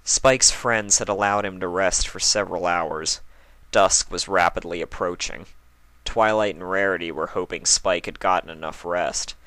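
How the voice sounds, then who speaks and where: A man's voice: sits low, normal speed, pushed and bright, no room sound. A man reading scripted story narration as the narrator of a fan fiction.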